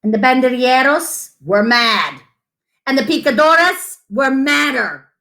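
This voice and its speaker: Lady doing storytime for kids, highish voice that swoops around, dramatic, chats to the listeners. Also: measured pace. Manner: animated, dramatic emphasis with asides to listeners. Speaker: storyteller reading a picture book to children. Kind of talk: expressive children's story read-aloud.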